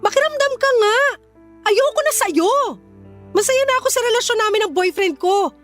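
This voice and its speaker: A young Filipino woman who is hurt and fed up. Her voice sits extremely high and she scolds with firm emphasis. It is radio drama, with soft music underneath. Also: expressive, normal speed. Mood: firm, exasperated. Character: hurt, indignant young woman standing up for herself. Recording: music behind the voice.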